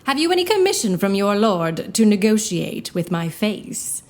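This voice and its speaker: A woman's voice: medium pitch, very animated, moderate pace, a little mellow, lightly acted. A woman playing a proud, witty young noblewoman in a Shakespearean audio drama, with a haughty, teasing, theatrical delivery.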